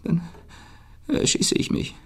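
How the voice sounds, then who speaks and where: An actor playing an old, eccentric, suspicious millionaire in a radio drama, raspy and erratic, with querulous outbursts that slip between shrill tones and whispers. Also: male voice, slow pace, close to an everyday voice. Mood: tense, haunted. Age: older. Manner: abrupt, erratic, barking questions with querulous tags. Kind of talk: German radio drama acting.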